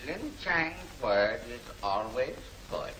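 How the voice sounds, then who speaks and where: An actor playing a polite, cautious Chinese shopkeeper in an old film serial, speaking in halting, formal sentences with dropped words. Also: male voice, mid-range voice, very animated, pushed and bright, heavily acted. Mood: wary, hesitant. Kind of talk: 1940s film serial acting. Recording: noisy old film soundtrack.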